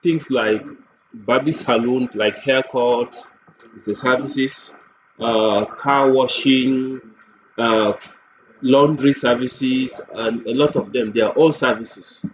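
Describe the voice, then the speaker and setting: A man giving an unscripted screen-share software tutorial, explaining step by step and repeating points for emphasis. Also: medium pitch, takes it slowly. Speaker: software demo presenter. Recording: muffled lo-fi audio, clear background noise.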